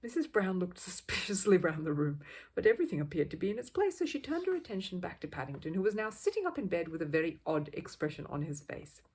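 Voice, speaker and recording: A woman narrating a children's story as a storyteller, expressively, with a husky, breathy voice. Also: on the low side, sing-song, fast, darkish tone.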